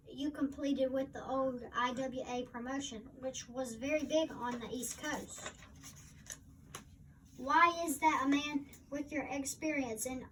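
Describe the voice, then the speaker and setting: A woman reading scripted interview questions in the role of a magazine interviewer. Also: sits high. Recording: distant microphone, faint background noise.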